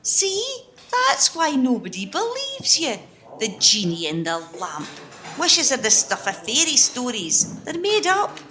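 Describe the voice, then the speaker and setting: Woman acting a feisty, very animated woman. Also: high-pitched, faint music behind, heavily acted. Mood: scolding. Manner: brisk, incredulous, scolding. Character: feisty, sceptical, kind-hearted working woman on day off. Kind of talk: amateur radio play acting.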